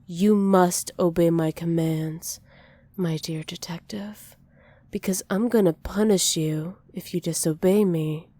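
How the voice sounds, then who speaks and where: A woman playing a dominant, possessive villain who dotes on a captive, softly menacing and teasing in an ASMR roleplay. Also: lowish voice, steady tone, takes it slowly, dry recording. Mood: commanding, possessive. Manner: soft, teasing menace with breathy whispered endearments.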